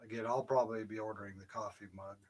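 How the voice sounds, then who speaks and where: A soft-spoken man co-hosting a casual livestream chat, talking quietly and a little away from a shared microphone. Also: on the low side, fairly even intonation, fast. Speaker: co-host. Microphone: shared desk mic, off-axis.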